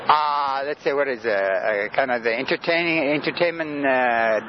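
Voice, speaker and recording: A man in his sixties from Sudan whose first languages are Greek and Arabic, talking in a rambling, hesitant way with many pauses and laughs, tired from lack of sleep, interviewed outdoors. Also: mid-range voice, highs cut off, a little hiss or hum. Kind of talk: casual street interview.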